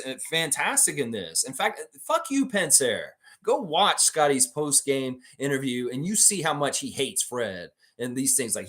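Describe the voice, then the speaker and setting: Casting note: male live-stream sports host, chatty and animated, jumps high and loud when joking. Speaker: sports podcast host reading live chat. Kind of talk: casual live-stream chat.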